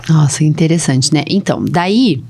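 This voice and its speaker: A woman's voice: low voice, normal speed, darkish tone, breathy edge. A woman with a Brazilian accent, a doctor hosting a casual podcast interview, who often checks in with tag questions. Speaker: podcast host, allergist doctor.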